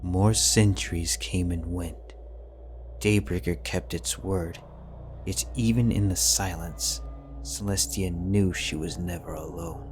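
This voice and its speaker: Deep slow guy narrating a story, pretty monotone, music going under him. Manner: slow, measured, somber. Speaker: audiobook narrator. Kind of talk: scripted fanfiction narration. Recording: music bed under the reading.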